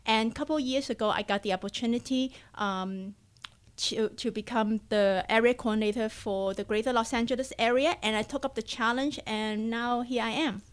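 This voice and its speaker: A woman's voice: on the high side, moderate pace, clear, resonant tone. A woman from Hong Kong with a Hong Kong accent, a charity coordinator speaking earnestly as a radio interview guest, with fillers and non-native grammar.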